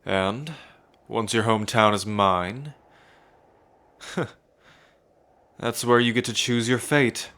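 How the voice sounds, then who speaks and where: Man playing a slow, gloating tyrant prince. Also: on the low side, fairly bright tone. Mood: smug, gloating. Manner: pompous, gloating, with frequent smug chuckles. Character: arrogant, sadistic male would-be emperor forcing a marriage. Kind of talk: ASMR roleplay villain monologue.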